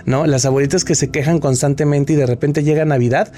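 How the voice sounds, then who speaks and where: Mellow-voiced man explaining steadily. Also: on the high side, fairly even intonation, fairly quick. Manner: explanatory, with frequent restarts. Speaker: guest expert. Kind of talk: interview answers.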